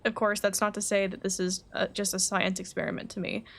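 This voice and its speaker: A woman reading aloud the game lines of a composed, cerebral alchemist in a formal, measured manner. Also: mid-range voice, level tone, gravelly, a bit bright. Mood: calm, reflective. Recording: faint background noise.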